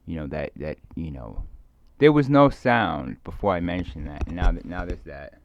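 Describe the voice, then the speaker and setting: A man rambling casually to himself in a halting, hesitant way, repeating words and trailing off mid-thought as he muses. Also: sits low, expressive. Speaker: video creator. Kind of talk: rambling casual solo monologue. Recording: dull, muffled lo-fi recording.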